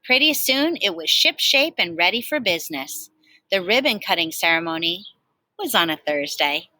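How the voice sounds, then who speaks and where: Bright, animated woman reading a storybook. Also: lowish voice, moderate pace, dry recording. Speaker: teacher reading aloud. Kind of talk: expressive picture-book read-aloud for children.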